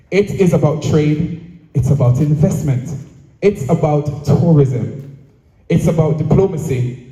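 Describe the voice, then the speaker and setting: A man with a Jamaican accent delivering a prepared public speech in a measured, rhetorical way, with deliberate phrasing and repetition. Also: a little high, mellow. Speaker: association president giving a speech. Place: speaking at a live event, room echo. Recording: echoey venue, distant microphone.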